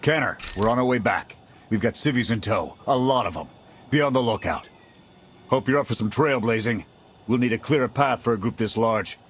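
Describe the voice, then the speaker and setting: An actor playing a weary, principled soldier in a video game, gruff and terse. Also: male voice, medium pitch, brisk, natural voice. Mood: commanding. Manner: terse, military, matter-of-fact. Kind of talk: scripted video game drama acting. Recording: faint game ambience and noise.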